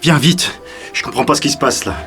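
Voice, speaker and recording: An actor playing a frantic, protective brother, urgent and colloquial, in a French audio drama. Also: male voice, mid-range voice, expressive, a little fast, close to an everyday voice. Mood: frantic, alarmed. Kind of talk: French fiction podcast acting. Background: music.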